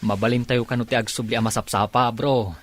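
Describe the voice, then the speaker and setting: A man's voice: medium pitch, steady tone, fast, lightly acted. An actor with a Filipino accent playing a chummy, teasing young delivery man in a radio drama, bantering and exclamatory. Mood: cheerful.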